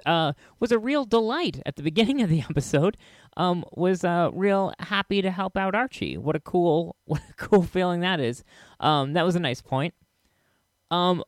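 A man hosting a solo podcast in a casual, halting and enthusiastic way. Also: a little high, no room sound, clean studio sound. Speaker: podcast host.

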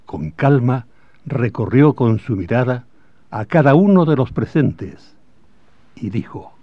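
A man with a Chilean accent narrates a historical radio drama in a formal, measured storytelling manner. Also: medium pitch, animated, no room sound. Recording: dull old radio drama recording.

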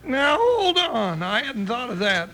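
An actor playing an excitable, folksy cowboy sidekick with an American accent in an old-time radio western, nervous and given to grumbling exclamations. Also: male voice, extremely high pitch, unhurried, heavily acted. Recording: noisy old radio recording.

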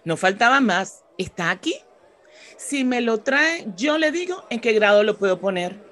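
A woman's voice: fairly high pitch, big pitch swings, a little slow, fairly bright tone. A woman playing a polite, kindly and obliging schoolteacher in a radio drama.

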